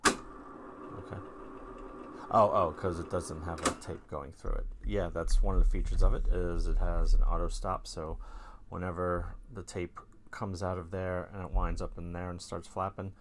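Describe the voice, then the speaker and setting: A man's voice: deep voice, level tone, normal speed, fairly bright tone, a little hiss or hum. A man casually demonstrating gear as host, rambling and jokey in a colloquial, self-mocking way. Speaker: host of a vintage gear video channel.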